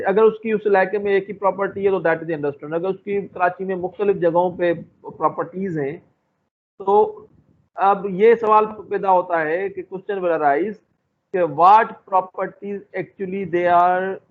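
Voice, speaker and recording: Male law lecturer, Pakistani accent, high-pitched voice, informal and halting as he explains, heard over thin phone-quality audio. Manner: halting, explains through everyday examples. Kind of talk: informal explanatory lecture mixing in English terms. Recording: muffled, lo-fi phone-like sound.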